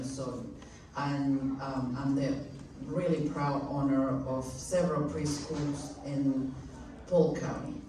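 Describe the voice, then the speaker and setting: A woman's voice: low-pitched, dark tone. A woman in her forties from Venezuela, introducing herself on a panel in a chatty, rambling way. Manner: chatty, rambling, self-deprecating. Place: convention hall. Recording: echoey hall from far off, faint noise.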